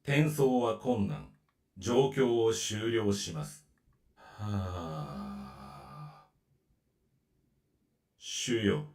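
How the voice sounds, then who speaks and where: A doomed creature servant from a Japanese visual novel. The voice is very slow and fairly low, reporting haltingly and with resignation. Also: male voice, lively intonation, darkish tone, heavily acted. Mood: grim, resigned. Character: fleeing grinning male familiar, resigned to doom.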